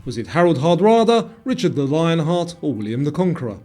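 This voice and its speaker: Lively, mellow male quiz presenter over music. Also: fairly high pitch, a little fast. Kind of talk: scripted quiz narration.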